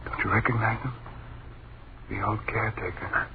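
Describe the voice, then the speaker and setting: An actor playing a frightened, awestruck husband in an old radio drama, speaking in halting, hushed short phrases. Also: male voice, fairly low pitch, steady tone, phone-quality sound, close to an everyday voice. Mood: awed. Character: awestruck, frightened husband and father watching ghostly apparitions. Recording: thin, noisy old radio recording.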